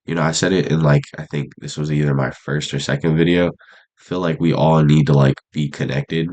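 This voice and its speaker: A young man with an extremely deep voice and a stuffed-up, congested nose talks casually to his camera. His speech is loose and rambling, packed with slang and fillers, in an unscripted vlog monologue. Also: fairly even intonation, brisk, darkish tone, highs cut off. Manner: laid-back, rambling slang talk with many fillers. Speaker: vlogger. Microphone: camera mic.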